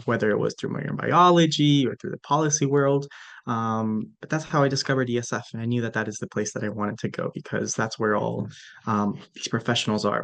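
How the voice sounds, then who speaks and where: Earnest young man with medium-pitched voice. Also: creaky. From Ecuador, moved to the US at eleven. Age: young adult. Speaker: student leader, panelist. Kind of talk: earnest panel remarks. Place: virtual panel over video call.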